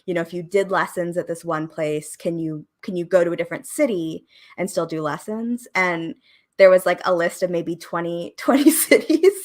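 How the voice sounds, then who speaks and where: A young woman from Oregon, in her twenties, talks through her story in an easygoing, rambling way. She leans on fillers and small laughs. Her voice sits a little low for a woman. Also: normal speed. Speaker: guest, podcast producer. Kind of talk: casual conversation interview.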